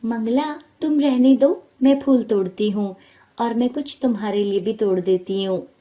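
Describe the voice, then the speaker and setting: A woman voicing a kind, helpful young village woman in a storybook tale, soft, gentle and polite. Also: a little high, moderate pace, close to an everyday voice.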